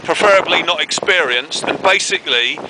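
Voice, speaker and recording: A man's voice: fairly high pitch, lively intonation, fairly quick. A man vlogging outdoors while trekking a wet, windy trail, chatting casually and rambling with many fillers. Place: outdoors on a windy rainy trail, later in woods. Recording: loud wind buffeting the mic. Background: loud wind noise.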